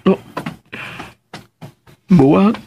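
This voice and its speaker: An actor with a Filipino accent playing a playful, lovesick suitor in a radio drama, cooing and sulking. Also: male voice, very slow, dull audio. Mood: sulky, coaxing. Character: playful, lovesick suitor who sulks and coaxes.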